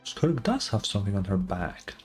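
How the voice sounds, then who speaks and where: A woman's voice: a bass voice, very animated, normal speed, darkish tone, soft background music. A woman streamer giving casual reaction commentary on a game story, musing and thinking aloud.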